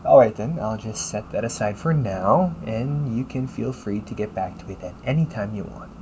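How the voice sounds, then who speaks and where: Man acting as a lively AI caregiver companion, audible background noise. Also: lowish voice, normal speed. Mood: helpful, chatty. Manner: polite, chirpy, gently nagging, butler-like phrasing. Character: upbeat, teasing male AI caregiver companion, fussy and helpful. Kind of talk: scripted audio roleplay addressed to the listener.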